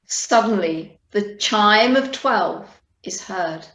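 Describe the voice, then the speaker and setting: A woman reading scripted narration as the measured storyteller of an amateur radio play. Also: mid-range voice, expressive, a bit bright. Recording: very noisy, roomy video call audio.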